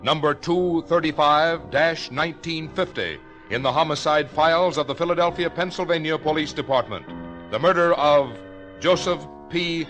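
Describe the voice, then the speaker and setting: Formal American radio announcer, slightly high-pitched man. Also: fairly bright tone, soft background music. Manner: formal, measured, deliberate enunciation. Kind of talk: 1950 radio announcing, scripted. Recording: vintage 1950s radio broadcast.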